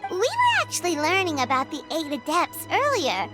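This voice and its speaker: A tiny floating fairy girl in an anime-style game. The voice is extremely high, childlike and squeaky, swinging around with excited curiosity. She is chirpy and inquisitive over loud, jingly music. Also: normal speed, heavily acted. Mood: curious. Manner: chirpy, inquisitive, speaks of herself by name.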